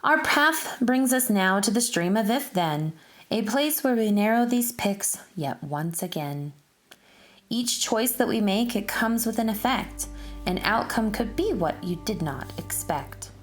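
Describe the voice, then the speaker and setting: Slow-paced woman reading a children's story. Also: medium pitch, expressive, faint music behind. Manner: deliberate, instructive, addressing young listeners. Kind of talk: expressive read-aloud of rhyming story.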